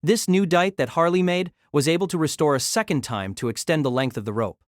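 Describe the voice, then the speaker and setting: A woman's voice: low-pitched, fairly quick, no echo, studio quality. A woman narrating a novel in a scripted, dramatic storytelling style.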